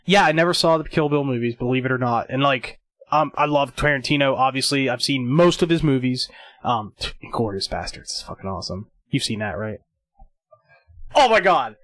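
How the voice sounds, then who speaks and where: Rambling, excitable man on a podcast. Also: mid-range voice, brisk, no room sound. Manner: rambling, excitable, swears freely. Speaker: podcast host. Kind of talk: casual chat.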